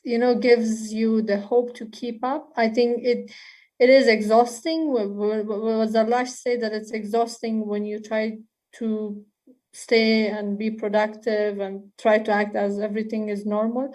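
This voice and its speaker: A woman from Afghanistan speaking on a panel over a video call, measured and hesitant. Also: fairly high pitch, level tone, a little mellow. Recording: distant webcam audio.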